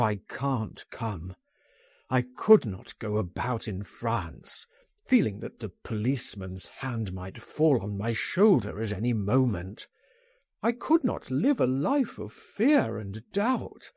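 A man's voice: mid-range voice, big pitch swings, takes it slowly, dark tone, slightly rough, no echo, studio quality, full character voice. A man voicing an anguished, fearful man who speaks in groaning, despairing exclamations, as in a storybook reading. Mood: fearful, resolved. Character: anguished, fearful man refusing a friend's escape plan.

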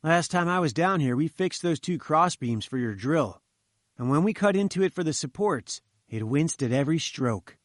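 A man's voice: fairly high pitch, lively intonation, moderate pace, no echo, clean studio sound, lightly acted. A man voicing a wry, awed companion in an audiobook adventure story. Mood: earnest. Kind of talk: audiobook character dialogue.

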